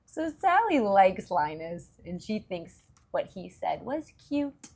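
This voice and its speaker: A woman's voice: mid-range voice, very animated, slow, vocal fry, a little mellow. A woman reading a story aloud to young children, expressively, with simple explanations along the way. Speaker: children's story reader and host.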